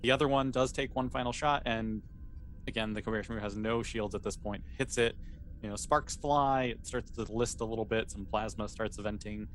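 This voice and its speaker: A man's voice: lowish voice, steady tone, brisk. Man running a tabletop game, noisy background. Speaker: game master. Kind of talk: improvised tabletop game narration.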